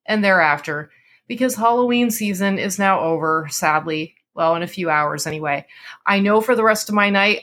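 A woman's voice: lowish voice, sharp tone. A woman hosting a podcast, moving between dramatic story narration and casual chat. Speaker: podcast host and story reader.

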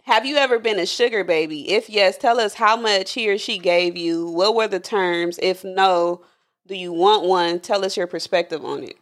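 A woman's voice: medium pitch, normal speed. Frank, giggly woman hosting a podcast. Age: 30s. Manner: frank, giggly, quizzes her guest. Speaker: podcast host. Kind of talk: casual chat.

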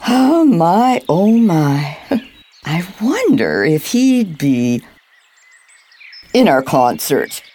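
A woman playing a kindly, chatty older woman at a seniors' residence in an audio drama, with a lilting, expressive delivery. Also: fairly low pitch, drawn-out words, pauses often, heavily acted. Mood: fond, flustered.

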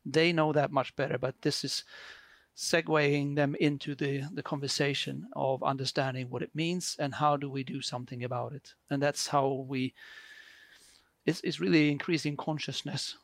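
Gravelly-voiced Swedish man, hesitant. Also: medium pitch, steady tone, normal speed, no room sound. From Sweden. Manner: hesitant, many fillers and restarts. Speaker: guest. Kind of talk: conversational explanation.

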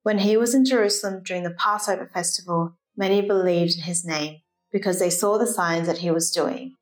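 A woman's voice: fairly low pitch, brisk, soft background music, rough recording. A woman giving a formal liturgical reading of scripture. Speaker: scripture reader.

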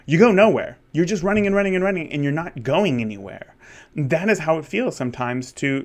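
A man of thirty, a wedding photographer, talking candidly in a rambling solo monologue with many restarts. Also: medium pitch. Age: 30s. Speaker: wedding photographer and podcast host.

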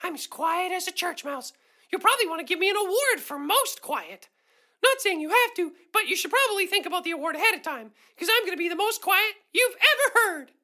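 A tiny, eager animal chatterbox, extremely high and bright. The delivery is cartoonish, childlike and boastful, bouncing all over in pitch for a children's adventure drama. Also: female voice, lively intonation, a little fast, heavily acted. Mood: excited, boastful.

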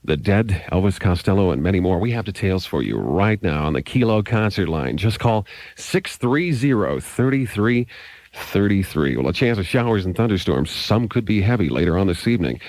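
A low-pitched man hosts 1980s rock radio, announcing and interviewing as a DJ. The sound is close and dry, captured on an old hissy cassette tape. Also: animated, moderate pace, darkish tone, dry recording.

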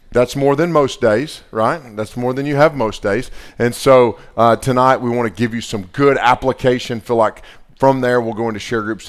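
Chill guy hosting a couples panel live, talks loose and friendly. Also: medium pitch. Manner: relaxed, chatty, laughs often. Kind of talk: casual live event hosting. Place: live event venue.

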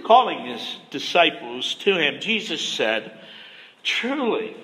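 A soft-spoken middle-aged pastor gives a gentle, measured sermon at a slow, unhurried pace. His voice sits a little high for a man and sounds bright and clear. It rings with the long reverb of a church sanctuary. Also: big pitch swings.

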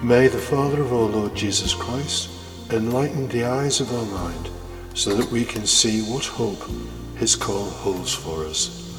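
A man's voice: fairly low pitch, a little slow. A man giving a scripted devotional talk in the role of a deacon and host. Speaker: permanent deacon hosting a homily podcast. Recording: echoey reverberant space, music behind.

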